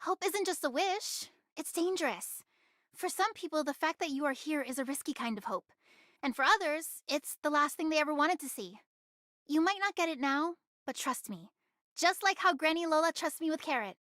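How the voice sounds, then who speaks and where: A woman's voice: high voice, animated, moderate pace, fairly bright tone, no echo, studio quality. A woman voicing a bossy, sly young woman in an anime game, commanding and teasing in manner. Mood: serious.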